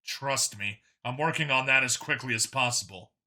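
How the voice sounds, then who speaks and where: A determined male cop speaking over comms in a sci-fi story, read by a male narrator. The voice is bright and crisp in edge. The manner stays steady and businesslike. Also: medium pitch, moderate pace. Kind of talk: audiobook character voice.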